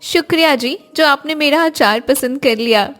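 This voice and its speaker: A woman voicing a crafty, sweet-talking married neighbour in an animated family story, gushing and familiar. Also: high-pitched, normal speed, faint music behind. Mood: sweet, wifely.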